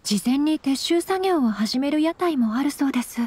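A woman's voice: high-pitched, expressive, moderate pace, darkish tone. An actress playing a demure, courteous young noble lady in a video game, speaking politely and formally. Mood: polite, composed. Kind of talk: anime-style video game voice acting. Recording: background game music.

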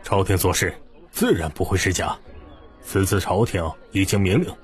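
A man's voice: low voice, expressive, normal speed, faint music behind. A man voicing a grave, composed imperial guard officer in an audiobook. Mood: cool, assured.

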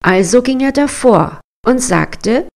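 Unhurried woman reading a fairy tale. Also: fairly high pitch. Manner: measured, expressive storybook reading. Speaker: storyteller. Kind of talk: scripted fairy-tale narration.

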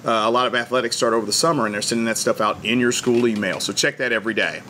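A man's voice: mid-range voice, steady tone, racing, pushed and bright, slight background noise. A middle-aged man giving upbeat, friendly school video announcements to students from a small school studio. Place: school TV studio, indoors, green screen.

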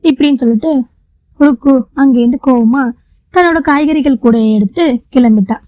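A woman's voice: high voice, fairly quick, dark tone, no room sound, lo-fi sound. A woman storyteller reading scripted narration for a children's moral tale.

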